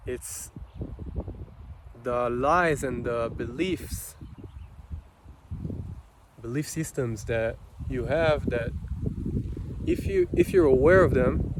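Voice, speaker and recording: A man with an Israeli accent, a native Hebrew speaker, giving an unscripted personal monologue in a halting, reflective manner with long pauses. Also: mid-range voice, very animated, background noise. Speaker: vlogger.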